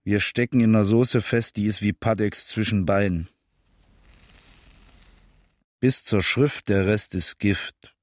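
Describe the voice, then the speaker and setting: A man's voice: on the low side, steady tone, moderate pace, dry recording, clean studio sound, close to an everyday voice. An actor playing a weary, bitter working-class man who narrates his dead-end life in terse, slangy fragments in a radio drama. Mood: weary, sardonic. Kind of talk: radio drama interior monologue.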